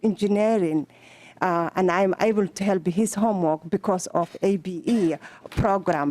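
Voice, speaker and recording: A woman from Somalia with a Somali accent, testifying at a legislative hearing in halting speech with many fillers and restarts. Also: on the low side, a little mellow. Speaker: testifying adult student. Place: legislative committee hearing room. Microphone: table microphone.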